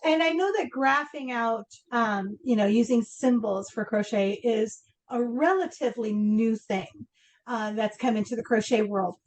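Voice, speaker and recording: Female interviewer from Argentina, Spanish as first language, high-pitched, informal conversational delivery. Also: unhurried. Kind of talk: casual interview chat.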